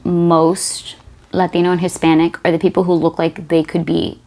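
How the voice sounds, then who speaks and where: A woman comedian from the United States talks casually on a podcast. She keeps it animated and acts out the back-and-forth of conversations she recounts. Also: on the low side, normal speed. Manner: animated, acts out quoted exchanges. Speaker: comedian guest.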